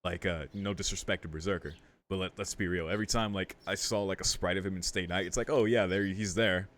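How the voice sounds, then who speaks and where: A man's voice: sits low, steady tone, quick pace, a bit bright. A man hosting a game playthrough, talking in a slangy, jokey way and reading the game's text aloud. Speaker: gaming video host. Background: game music.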